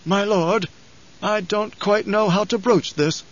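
A man voicing a loyal old advisor in an audiobook, grave and deferential, with a measured, formal, hesitant delivery. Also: high voice, fairly even intonation, lo-fi sound, pitched above the performer's own voice. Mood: hesitant, troubled. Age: older.

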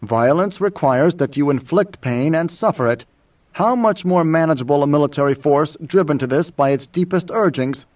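A man voicing a world-weary older majordomo with a measured, cutting, lecturing manner and quiet command, in an audiobook. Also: on the high side, far from the mic, muffled recording, close to an everyday voice. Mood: mild lecturing.

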